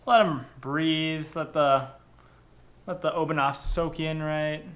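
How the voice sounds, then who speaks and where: A man's voice: a little high, slow pace. A young man hosting a casual how-to vlog from his kitchen table, chatty and repeating his words as he goes. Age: young adult. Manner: chatty, repeats words and phrases, lively delivery. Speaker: channel host. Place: kitchen table indoors, home. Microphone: camera across the table.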